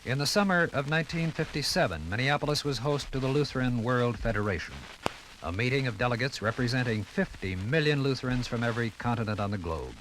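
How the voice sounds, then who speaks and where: A man narrating a 1950s radio documentary from a script in a solemn, measured, stately manner. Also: medium pitch, lively intonation. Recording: crackly 1950s radio record. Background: music.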